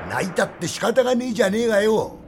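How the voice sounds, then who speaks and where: A male storyteller voicing a coarse, mocking brothel thug who talks in rough Edo street speech. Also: high voice, animated, fast, noisy background, pitched above the performer's own voice. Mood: jeering.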